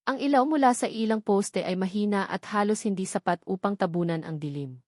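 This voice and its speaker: A woman's voice: medium pitch, fairly quick, darkish tone, dry recording, studio quality. A woman reading a scripted romantic drama story as its narrator, in a dramatic storytelling style.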